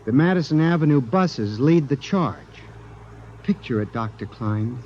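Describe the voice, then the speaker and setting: A man's voice: medium pitch, lively intonation, unhurried, lo-fi sound, slight background noise, lightly acted. Frantic, paranoid actor playing a man, swooping intensity. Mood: desperate, insistent. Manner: agitated, pleading, intense. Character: frantic, paranoid accused man convinced machines are alive. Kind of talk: 1950s radio drama acting. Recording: band-limited 1950s radio recording, music behind.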